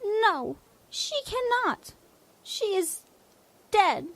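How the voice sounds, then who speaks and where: A woman's voice: extremely high pitch, very animated, dry recording, heavily acted, low-quality recording. A woman voicing a domineering, mocking female leader of a savage tribe in a comedic fantasy audio drama. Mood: haughty, commanding. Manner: mocking, imperious, drawn-out delivery.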